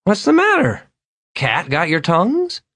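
A man's voice: high-pitched, wildly swooping pitch, measured pace, a bit bright, no echo, clean studio sound, pitched above the performer's own voice, exaggerated voice. A man voicing a drunk, disheveled middle-aged man who rambles, slurs and swings between overly polite and maudlin. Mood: tipsy, needy. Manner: slurred, wandering, overly polite then maudlin.

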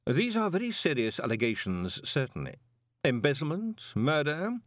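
Narrator playing a cool, menacing ruler; level, measured, dry close sound. Storybook. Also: male voice, a little high, dry recording, pitched above the performer's own voice. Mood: cold. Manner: calm, measured, quietly menacing. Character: calm, icy, commanding male ruler.

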